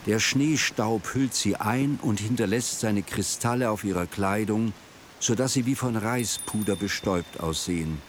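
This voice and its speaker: A man's voice: lowish voice, measured pace, slight background noise. A man reading scripted narration for a radio drama.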